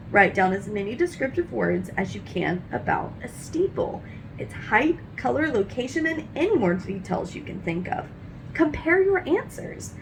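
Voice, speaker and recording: A woman with a fairly high, very animated voice reads a children's nonfiction book aloud. Her delivery has a marked creak at times and careful, teacherly phrasing. A faint noise with a low rumble sits behind her. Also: low-quality recording. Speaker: read-aloud host.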